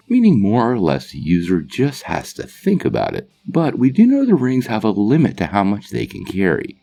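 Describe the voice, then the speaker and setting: A man's voice: sits low, vocal fry, dark tone. A man hosting a comic book channel, narrating a scripted explainer to viewers in a casual, enthusiastic fan's way.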